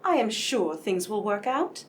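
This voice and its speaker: A woman's voice: medium pitch, big pitch swings, measured pace, distant mic. A woman playing a plucky, self-assured lady detective in a radio mystery play. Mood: reassuring.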